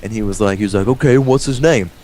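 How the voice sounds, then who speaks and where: A young man with a fairly low voice tells a casual, rambling story. He swears freely and voices both sides of conversations, with faint noise behind him on a home gaming voice chat. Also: fast talker. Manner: rambling, profane, animated retelling with quoted dialogue. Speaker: gamer telling a story. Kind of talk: casual storytelling over gameplay.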